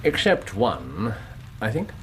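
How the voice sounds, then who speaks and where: Radio casting: sharp-minded Victorian English sleuth, assured, extremely expressive intonation, clear background noise. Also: male voice, fairly low pitch, slow, full character voice. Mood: probing. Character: incisive, probing Victorian English detective. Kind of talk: radio drama acting.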